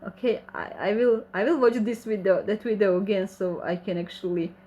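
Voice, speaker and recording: A woman's voice: medium pitch, fairly even intonation, normal speed, dark tone. Croatian-accented woman answering live chat. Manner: reads viewer comments aloud and answers them, light laughs. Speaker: channel host reading chat questions. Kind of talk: casual livestream Q&A.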